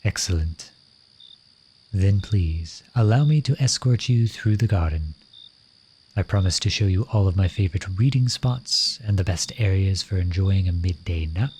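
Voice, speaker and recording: Man playing a low-voiced lovesick courtly royal, crickets behind. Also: normal speed, darkish tone, a little hiss or hum, lightly acted. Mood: courtly, inviting. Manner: formal, courtly, flowery phrasing. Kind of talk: ASMR romantic roleplay, addressing the listener.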